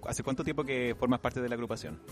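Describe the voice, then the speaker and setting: A man's voice: mid-range voice, fairly even intonation, fast talker. A man working as a radio interviewer at a cultural event, asking polite questions with small restarts. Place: embassy cultural celebration. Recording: interview with loud music behind. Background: music playing.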